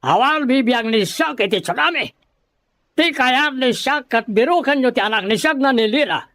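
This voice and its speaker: A man's voice: very high-pitched, lively intonation, moderate pace, sharp tone, no room sound, exaggerated voice. A man playing a hot-tempered, domineering rich master in an audio drama, scolding in exclamations. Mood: commanding, angry.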